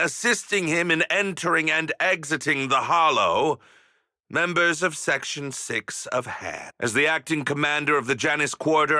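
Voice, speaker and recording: An actor playing a pompous, hot-tempered police inspector in a video game, speaking in a formal, theatrical manner. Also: male voice, a little high, sharp tone, no room sound, clean studio sound.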